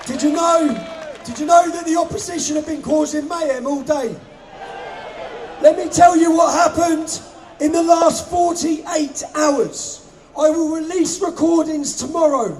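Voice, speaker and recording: Man, shouting, high strained voice, outdoor rally, crowd behind. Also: steady tone, unhurried. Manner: shouting to a crowd, hoarse-edged. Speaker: rally organiser speaking on stage. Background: crowd noise.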